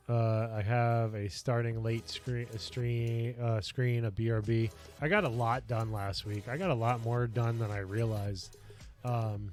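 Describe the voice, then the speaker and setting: A man chatting casually on his home livestream in a basement office, rambling and earnest with lots of fillers, his voice getting a little raspy. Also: mid-range voice, a little mellow. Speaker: streamer and lifestyle coach.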